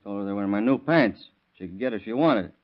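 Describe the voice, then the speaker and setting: A hot-tempered young father played by a man from an old radio crime drama. His voice is fairly low, blunt and defensive, quick to get excited. The recording is dull, vintage and lo-fi. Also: natural voice. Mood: blunt, grumbling.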